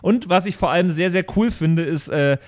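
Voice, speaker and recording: A man's voice: on the high side, moderate pace, a little mellow. A man chatting casually and reflectively as he recaps a role-play game with friends, in a rambling way. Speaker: podcast player recapping his tabletop role-play character.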